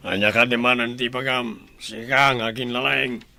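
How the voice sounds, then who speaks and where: A scornful, stubborn henchman in an Ilocano radio drama. He is sharp and forceful, sneering in a mid-range voice with faint music behind. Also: mid-range voice, fairly even intonation, moderate pace, gravelly.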